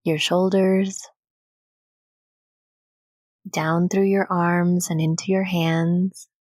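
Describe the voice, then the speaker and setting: Female meditation guide, soothing and gentle, lowish pitch, very unhurried delivery with generous pauses. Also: little pitch movement, no echo, studio quality.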